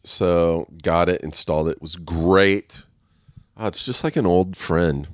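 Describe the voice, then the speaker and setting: Deep-voiced congested man rambling. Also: unhurried, no room sound, clean studio sound. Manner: rambling, profane, self-mocking, laughs often. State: congested, nasal. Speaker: podcast host. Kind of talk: casual solo podcast monologue. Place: waiting on a set, recording alone.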